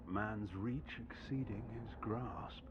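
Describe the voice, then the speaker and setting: A man playing a weary, defensive project scientist in a video game, speaking in a measured, grave and self-justifying way. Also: on the low side. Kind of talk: dramatic video game dialogue, expository. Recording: muffled game audio with clear background noise.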